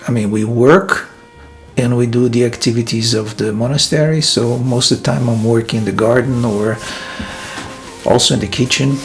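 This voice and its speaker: Man for a reflective vlog, gravelly, unhurried delivery, soft music underneath. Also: medium pitch. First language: Portuguese. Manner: thoughtful, hesitant, many pauses and fillers. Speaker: filmmaker and vlog host. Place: his room in a monastery.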